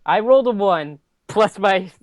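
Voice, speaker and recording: A man with a slightly high, clean and smooth voice plays a tabletop game. He talks casually and thinks out loud, in a very dry recording. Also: lively intonation, measured pace, no echo.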